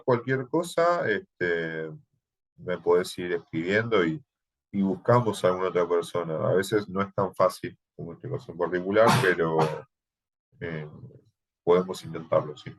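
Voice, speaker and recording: Argentine man, very low voice, hesitant thesis teacher on a video call, lots of fillers. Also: expressive, a little slow. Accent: Argentine Spanish. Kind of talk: online class, thesis advising.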